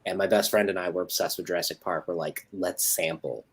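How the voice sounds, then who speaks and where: A woman's voice: a bass voice, fairly even intonation, fairly quick, husky. A woman co-host on a video call telling an anecdote casually, with an informal, salty storytelling manner. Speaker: guest co-host on a live show.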